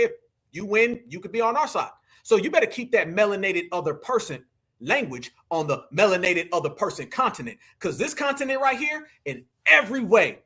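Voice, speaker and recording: A man's voice: high voice, bright, forceful tone. An American man hosting a live stream, reacting to a clip with combative, mocking commentary in slangy speech addressed to his viewers. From United States. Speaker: livestream commentator.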